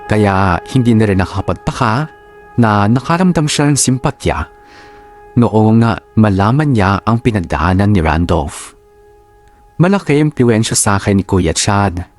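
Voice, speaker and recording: A man with a fairly low voice narrates a scripted personal story in the first person. Soft music plays underneath his drama narration. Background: background music.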